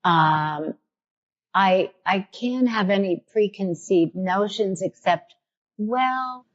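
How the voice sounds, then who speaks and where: A woman's voice: medium pitch, slow, highs cut off. A woman with a New York accent hosting a casual podcast interview, thinking aloud with many restarts and real enthusiasm. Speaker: podcast host.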